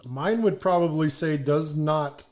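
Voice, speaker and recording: A man hosting a casual podcast chat, loud and booming, who laughs often while asking the questions. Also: unhurried. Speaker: podcast host.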